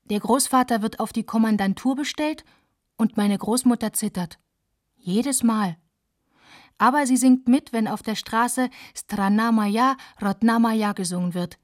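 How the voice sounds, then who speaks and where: An actress plays a wry granddaughter looking back on family life after the war. Her voice sits fairly high. She narrates in spare, deliberate literary phrases for a radio play. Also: dry recording, clean studio sound, lightly acted. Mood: wry, reflective.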